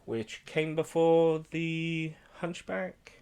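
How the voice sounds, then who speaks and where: A man's voice: a little high, flat delivery, extremely slow, vocal fry, darkish tone, clear, resonant tone. A man co-hosting a film podcast, chatting casually and jokily while explaining bits of film history. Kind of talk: casual film chat with trivia.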